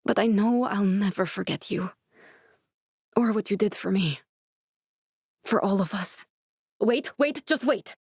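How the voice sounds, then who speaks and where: A woman voicing an earnest, guarded young female scientist survivor in a video game, speaking in a measured, serious way. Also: mid-range voice, darkish tone, husky, no room sound, clean studio sound. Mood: subdued, regretful, then urgent, emotional. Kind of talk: dramatic video game dialogue.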